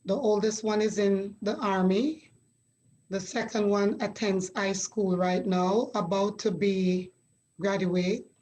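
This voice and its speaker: Woman from jamaica, middle aged, talks slow and steady, pauses a lot while telling her story. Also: medium pitch, a little hiss or hum, poor audio. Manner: measured, reflective storytelling with pauses. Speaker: guest. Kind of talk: conversational interview.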